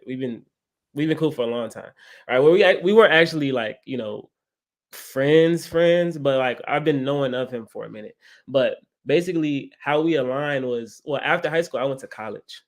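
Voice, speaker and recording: A man's voice: mid-range voice, moderate pace. Young man repeating "right?". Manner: repetitive, leans on "right?". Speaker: guest, credit educator. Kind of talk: casual interview talk.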